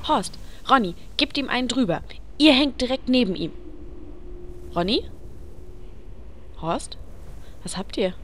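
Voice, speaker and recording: Actress as a slow-talking, bossy adventurer woman. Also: a little high, expressive, fairly bright tone, a little hiss or hum. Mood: indignant. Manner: commanding, sarcastic. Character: bossy, exasperated female guild adventurer in a robe. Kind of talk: comedic fantasy radio-play acting.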